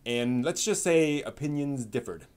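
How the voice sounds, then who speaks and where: A man's voice: medium pitch, animated, a little slow, darkish tone, clear, resonant tone. A man presenting a scripted introduction. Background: music.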